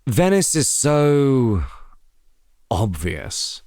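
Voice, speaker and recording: A man's voice: mid-range voice, big pitch swings, extremely slow, darkish tone, no room sound, pitched above the performer's own voice, full character voice. Man acting a young commander, proud, shrewd, commanding, audio drama, dry recording. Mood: scornful.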